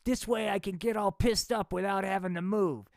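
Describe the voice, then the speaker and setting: A man doing a loud blustering drunk dad. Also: sits high, fairly even intonation, normal speed, gravelly, dry recording, clean studio sound, voice pushed up. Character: lazy drunk loudmouth dad, male, middle-aged.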